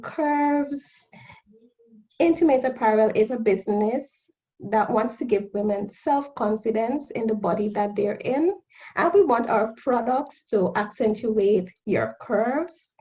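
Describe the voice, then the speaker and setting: A woman in her thirties from Guyana with a Guyanese accent, a guest on a radio interview explaining her new business in an earnest, steady way. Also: on the high side, rough recording. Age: 30s. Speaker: guest entrepreneur.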